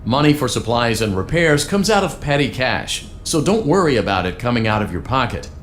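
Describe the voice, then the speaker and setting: A man's voice: medium pitch, sing-song, fairly quick, a little hiss or hum, close to an everyday voice. A man voicing an upbeat, salesy corporate training narrator in a video game, with a peppy, encouraging manner. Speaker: tutorial guide for a pizzeria franchise. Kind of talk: scripted tutorial narration. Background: music.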